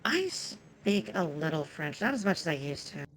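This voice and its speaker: A woman's voice: sits low, big pitch swings, moderate pace, gravelly, slight background noise. A woman whose first language is Japanese, chatting casually and profanely with viewers while streaming a game.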